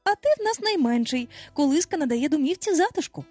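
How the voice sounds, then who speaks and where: A woman's voice: very high-pitched, big pitch swings, fairly quick, a little mellow, no room sound, faint music behind, pitched above the performer's own voice. A woman voicing a motherly young girl in a storybook, fond but half-cross, scolding in mock reproach. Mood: exasperated.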